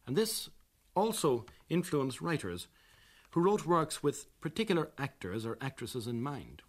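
A man's voice: on the high side, very animated, a little slow. An Irish man presenting a radio documentary in scripted narration.

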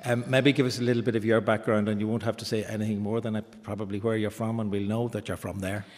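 Male event host, low voice, polished delivery with small stumbles, booming reverb of a marble hall. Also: little pitch movement, quick pace, a little mellow. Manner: eloquent, with occasional stumbles. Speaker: host of a book festival event. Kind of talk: formal introductions and questions. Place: large marbled sculpture hall in a gallery. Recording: long reverb of a large stone hall.

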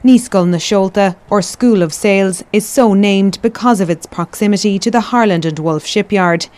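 A woman voices a scripted news report. She speaks calmly and evenly, at a middle pitch. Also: normal speed. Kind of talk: scripted news package narration.

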